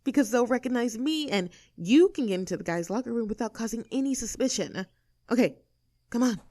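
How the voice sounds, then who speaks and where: A woman's voice: fairly high pitch, animated, a little fast, dark tone, no echo. Woman acting sassy mean girl, close dry sound. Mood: confident, instructing. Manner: snarky, bossy, teasing, self-assured. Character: sassy rebellious mean girl, cocky young troublemaker. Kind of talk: acted audio roleplay addressed to the listener.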